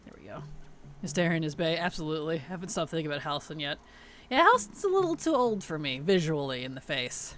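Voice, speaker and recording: A woman's voice: low-pitched, big pitch swings, fast, fairly bright tone, slight background noise. Playful woman streamer with a tired voice. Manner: playful, teasing, chuckles often. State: tired voice starting to weaken and turn gravelly. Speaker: game streamer. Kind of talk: casual livestream chat over gameplay.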